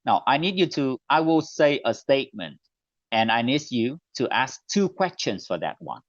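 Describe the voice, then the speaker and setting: A man's voice: medium pitch, sing-song, no room sound. Man, Vietnamese accent, online teacher training, computer mic, muffled dry audio. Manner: clear, deliberate classroom delivery, slows on model phrases.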